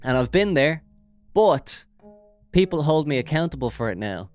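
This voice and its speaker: A man's voice: a little high, big pitch swings, normal speed, darkish tone, soft background music. An Irish man hosting a casual solo podcast, rambling and jokey with lots of fillers, speaking into a big studio microphone. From Ireland. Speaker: podcast host.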